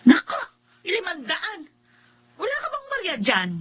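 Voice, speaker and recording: A woman's voice: very high-pitched, huge pitch swings, measured pace, sharp tone, exaggerated voice. A woman with a Filipino accent playing a blunt, superstitious, grumbling fruit vendor in a radio drama. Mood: brash, doubting. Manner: exclamatory, grumbling. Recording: dull audio with some noise.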